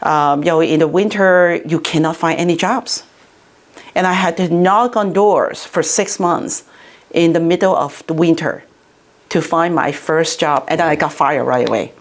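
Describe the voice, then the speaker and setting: A woman's voice: deep voice, moderate pace. A middle-aged woman from Hong Kong, a city councillor, answering interview questions in a chatty way with many fillers and restarts.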